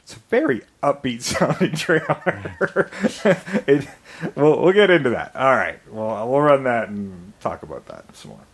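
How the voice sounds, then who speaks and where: A man hosting a podcast, easygoing and jokey, laughing his way through sentences. His voice sits at an ordinary middle pitch. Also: lively intonation, measured pace, husky. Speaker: podcast host. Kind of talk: casual podcast chat.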